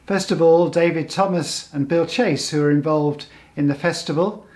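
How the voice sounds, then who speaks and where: A man's voice: on the high side, fairly even intonation, moderate pace, darkish tone. An older man introducing a community programme and its guests in a plain, friendly presenting manner over a webcam call. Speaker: programme host and interviewer. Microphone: webcam video call.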